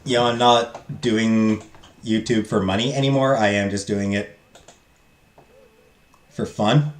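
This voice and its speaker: A man streaming a visual novel, loudly reading the game text aloud and chatting with his viewers. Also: lowish voice, fairly even intonation, slow pace. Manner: loud, talks loudly. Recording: roomy echo, faint game music.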